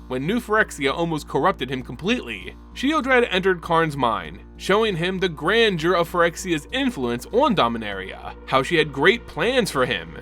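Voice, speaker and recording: Male lore narrator, slightly high, lively emphatic read. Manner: animated, emphatic storytelling. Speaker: lore channel host. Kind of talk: dramatic story narration.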